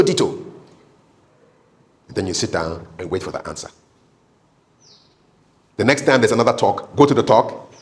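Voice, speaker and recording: A man giving a live training talk as a public speaking coach, conversational and instructive, repeating key points for emphasis and often checking in with short tag questions. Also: mid-range voice. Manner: emphatic, repetitive, checks in with "okay?".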